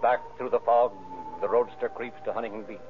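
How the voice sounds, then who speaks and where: A man's voice: medium pitch, steady tone, brisk, close to an everyday voice. A man performing as the dramatic narrator of an old-time radio crime tale, reading scripted narration. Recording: tinny, band-limited old radio recording. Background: loud music under the voice.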